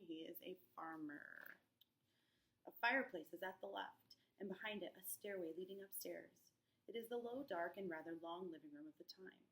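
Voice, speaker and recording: A woman's voice: lowish voice, vocal fry, fairly bright tone, low-quality recording. A woman teacher reading a play aloud to students, with explanatory asides and frequent check-ins. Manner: checks in often with the listener. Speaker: English teacher.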